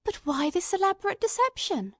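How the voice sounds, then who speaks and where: Woman voicing earnest, incredulous gentleman, high-pitched. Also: very animated, fairly quick, no echo, clean studio sound. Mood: astonished. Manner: urgent, incredulous questioning. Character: earnest, astonished male companion questioning a detective. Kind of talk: audiobook-style dramatised reading.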